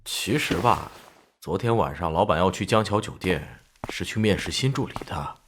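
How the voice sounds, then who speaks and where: A sheepish working-class driver in his forties for an animated crime series. The voice is deep but lively in pitch, with a folksy, deferential, hesitant way of talking to authority. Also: moderate pace, slight background noise. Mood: hesitant, confiding. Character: sheepish, henpecked 45-year-old working-class male driver. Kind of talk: animated crime drama dialogue.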